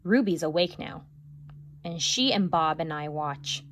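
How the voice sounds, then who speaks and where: A teacher reading a children's novel aloud, narrating expressively. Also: female voice, deep voice, lively intonation, unhurried, a bit bright, a little hiss or hum. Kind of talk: storybook read-aloud.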